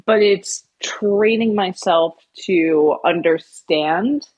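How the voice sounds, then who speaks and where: A woman's voice: fairly low pitch, very slow, a little mellow, breathy edge, muffled recording. A woman co-hosting a podcast, speaking thoughtfully and hesitantly with many ums. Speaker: podcast co-host. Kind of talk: casual podcast discussion.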